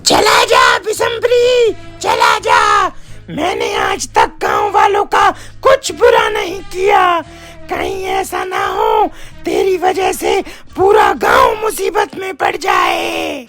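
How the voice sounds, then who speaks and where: A woman's voice: very high voice, huge pitch swings, soft background music, some chatter behind, voice pushed up, full character voice. Shrieking, wildly swooping old witch. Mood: angry, pleading. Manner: drawn-out, menacing shouting. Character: wrathful blind old village witch, wailing warnings.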